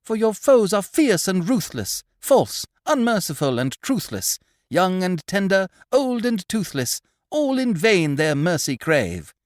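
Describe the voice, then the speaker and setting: A chorus of young sisters, pitched way up and belting their mock-heroic farewell, done by a man. The lines swing up and down in a theatrical singsong, with a dry, close sound. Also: sing-song, measured pace, few pauses, no room sound. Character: chorus of young women, mocking and dramatic.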